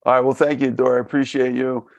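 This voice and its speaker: A man with a Philadelphia accent hosting a podcast interview, talking in a halting way, often repeating his words and laughing. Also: medium pitch, little pitch movement, dry recording. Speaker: podcast host. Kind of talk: casual interview.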